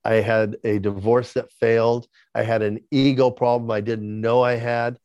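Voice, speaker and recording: A man's voice: mid-range voice, little pitch movement, normal speed, a little mellow, no room sound, clean studio sound. A man in his sixties with a lisp, candidly telling his life story as an interview guest, often repeating words as he starts a sentence. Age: 60s. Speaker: podcast guest.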